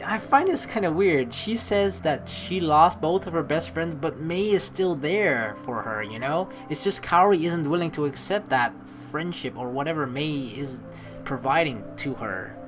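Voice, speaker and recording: A man hosting a let's play, giving casual, reflective commentary in a rambling, halting way and reading dialogue aloud. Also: on the high side, lively intonation. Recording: game music behind the voice.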